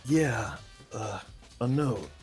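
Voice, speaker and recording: A man's voice: mid-range voice, expressive, very slow, over music. A man voicing a goofy, evasive young slacker who stammers, in an amateur video game dub. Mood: evasive, nervous.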